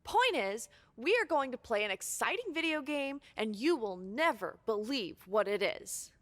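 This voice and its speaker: A woman's voice: fairly high pitch, sing-song, normal speed. A woman playing a sardonic, unimpressed wrestler co-host in a video game, with dry, teasing delivery. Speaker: wrestler co-hosting a show. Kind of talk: scripted video game cutscene acting.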